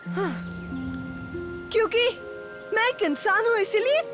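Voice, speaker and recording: A woman's voice: extremely high pitch, unhurried. A woman voicing a proud, headstrong young jungle boy in a Hindi cartoon dub, defiant and emphatic. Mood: defiant, hurt. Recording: music behind voice.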